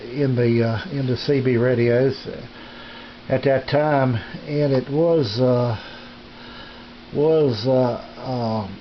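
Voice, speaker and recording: A middle-aged or older man giving a casual, unscripted show-and-tell as a hobbyist, with a halting, folksy delivery, recorded on a handheld camera in a small home room. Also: mid-range voice. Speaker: radio hobbyist presenting his equipment. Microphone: handheld camera's built-in microphone. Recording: dull lo-fi camera audio, background noise.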